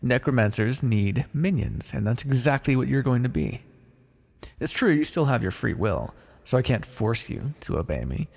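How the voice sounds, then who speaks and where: A man playing a blunt, callous, wryly businesslike necromancer in an ASMR fantasy roleplay, muttering in a deadpan, gravelly voice. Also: medium pitch, moderate pace. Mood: wry, matter-of-fact. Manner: deadpan, muttering to himself in thought. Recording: long reverberant hall echo.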